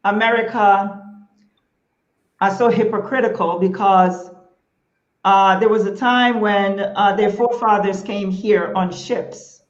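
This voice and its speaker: A woman's voice: medium pitch, fairly even intonation, slow pace, roomy sound, lo-fi sound. A woman hosting a panel talk show, speaking emphatically and repeating points for stress.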